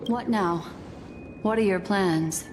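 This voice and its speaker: An actress playing a composed, enigmatic woman in a video game, speaking in a measured, knowing, formal way. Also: medium pitch, darkish tone, a little hiss or hum, close to an everyday voice. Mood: calm, cautionary. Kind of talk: video game character dialogue. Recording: long reverb, music behind. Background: game music.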